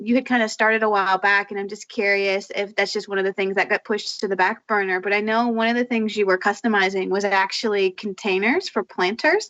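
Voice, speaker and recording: A woman's voice: mid-range voice, pushed and bright, no room sound. A woman co-hosting a podcast, asking long, rambling questions with fillers in a friendly way. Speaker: podcast co-host. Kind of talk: casual interview chat. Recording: dull lo-fi sound.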